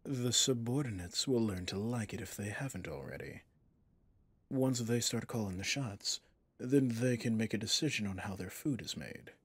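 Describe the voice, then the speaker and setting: Man playing a husky, slow-talking villain boss. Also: fairly low pitch. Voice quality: husky, breathy. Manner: slow, formal, commanding yet indulgent. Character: smooth possessive male villain boss, doting on favorite servant. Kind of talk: intimate ASMR roleplay acting.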